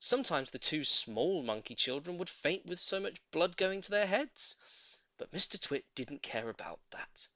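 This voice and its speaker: A man's voice: fairly high pitch, expressive, moderate pace, bright, forceful tone, dry recording. A man narrating a children's story as a scripted audiobook, in a theatrical, emphatic way.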